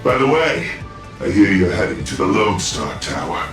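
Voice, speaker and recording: A man's voice: low voice, sing-song, normal speed, fairly bright tone, echoey room, recorded from a distance, soft background music. A man voicing a flamboyant, self-mocking corporate security officer in a video game, with a swaggering, glib, theatrical delivery. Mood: breezy.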